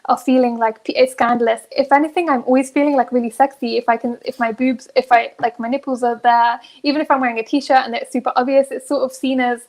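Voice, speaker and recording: Young female podcast co-host, high-pitched voice, frank and giggly, loose conversational delivery. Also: level tone, fast talker, few pauses, a little mellow. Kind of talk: casual candid chat.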